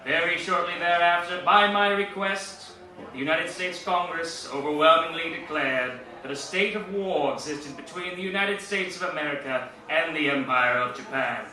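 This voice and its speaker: A solemn wartime president, played by a man delivers a lofty radio address in formal, measured oratory. The voice is forceful and very bright, set far back in a heavily echoing space, like an old broadcast. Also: on the high side. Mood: grave, resolute. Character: President Roosevelt, solemn, lofty male statesman giving fireside chat. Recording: echoey old-radio sound, distant.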